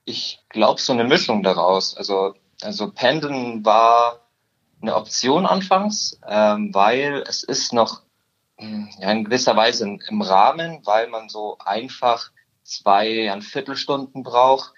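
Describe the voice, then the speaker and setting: Male, 19, from Upper Bavaria, halting podcast guest, slightly lo-fi recording. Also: on the low side, lively intonation, a bit bright. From Upper Bavaria, Germany. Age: teens. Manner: hesitant, many "ähm" fillers. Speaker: guest, insurance trainee. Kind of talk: podcast interview.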